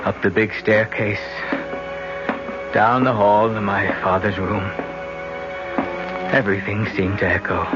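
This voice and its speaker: A man's voice: fairly low pitch, little pitch movement, unhurried, long pauses, over music, close to an everyday voice. An actor playing a guilt-ridden young son who narrates his story tensely in a 1970s radio drama. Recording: muffled 1970s radio broadcast.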